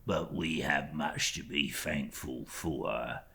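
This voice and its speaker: Creaky, very deep, fawning clerk. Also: male voice, full character voice. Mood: falsely humble. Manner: slow, obsequious, drawn-out, hushed phrase endings. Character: oily, falsely humble young male law clerk. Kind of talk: audiobook character acting.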